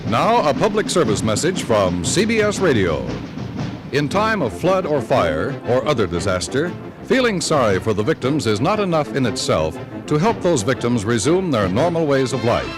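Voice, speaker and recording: A man's voice: medium pitch, very animated, moderate pace. An American man announcing formally in a polished, scripted 1950s radio style. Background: music.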